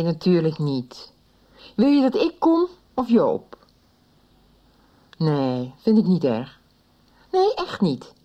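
A woman's voice: mid-range voice, dark tone, exaggerated voice. An actress in a 1990s radio soap playing an anxious, caring woman. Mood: relieved, soothing. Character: anxious, caring woman urging a caller to talk.

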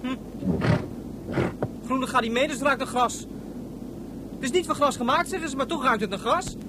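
A woman's voice: sits high, normal speed, background noise, close to an everyday voice. A woman voicing a blunt, matter-of-fact young boy chattering in a science-fiction radio play. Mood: musing.

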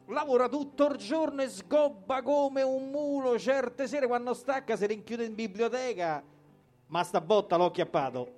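High-voiced Roman man performing dialect verse. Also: a little mellow. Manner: colloquial, theatrical storytelling with acted dialogue. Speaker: poetry performer. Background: guitar music.

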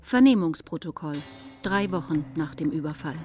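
An actress playing an impersonal announcer in a radio play, giving clipped, official headings. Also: female voice, deep voice, lively intonation, normal speed, darkish tone, faint music behind. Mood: neutral, official. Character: impersonal female announcer of police records.

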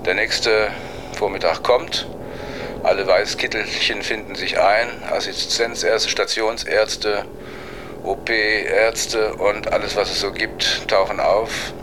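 A man's voice: on the low side, steady tone, slow pace. A man telling a casual anecdote in a colloquial way. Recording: clear vehicle and ambient noise.

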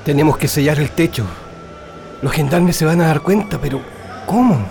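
A man's voice: on the high side, moderate pace, creaky, darkish tone, slight background noise. A man playing a resolute, questioning political prisoner in a radio drama. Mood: practical, concerned.

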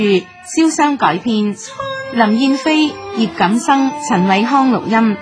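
A Cantonese woman announcer reads scripted radio drama credits at a slow, measured pace. Her voice is slightly high and lively in pitch, with music clearly behind it.

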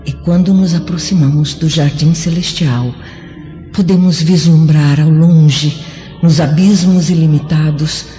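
A woman's voice: low-pitched, fairly even intonation, dark tone. An actress with a Brazilian accent playing a noble, welcoming female spirit in a solemn, lyrical radio drama. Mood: serene. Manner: slow, serene, lyrical. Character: noble, welcoming female spirit guiding a friend through heaven. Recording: muffled radio drama over music.

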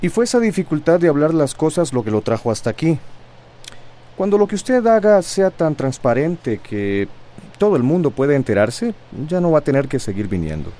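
A calm, probing psychologist in a radio drama, with a Costa Rican accent. The voice is dark and soft-edged, with lively rises and falls in measured questions. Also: male voice, on the high side.

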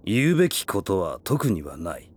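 A man's voice: sits low, sing-song, normal speed. A man playing a stern, taciturn middle-aged father who speaks curtly and matter-of-factly in a voice drama. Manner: curt, reserved, matter-of-fact. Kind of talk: voice drama acting.

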